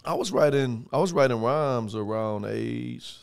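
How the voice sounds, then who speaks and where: A raspy, gravelly man in his thirties speaks into a studio mic in a podcast studio. His voice is resonant and commanding. He talks in a slow, measured way, with many pauses and fillers. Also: medium pitch. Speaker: rapper guest. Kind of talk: casual interview chat. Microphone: headphones and studio mic on boom.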